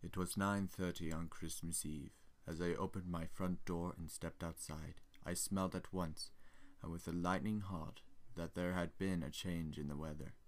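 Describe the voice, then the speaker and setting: A man playing a haunted, melancholy older gentleman in a measured, formal dramatic stage monologue. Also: low voice, fairly even intonation, lightly acted. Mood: brooding, reflective. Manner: measured, formal, brooding, theatrical.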